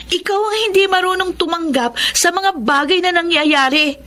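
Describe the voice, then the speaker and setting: A young woman with a very high, bright voice, a wounded yet firm daughter in a radio melodrama. She speaks emphatically, pleading and standing her ground with open emotion. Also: moderate pace. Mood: defiant. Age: young adult. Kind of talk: melodramatic radio drama acting.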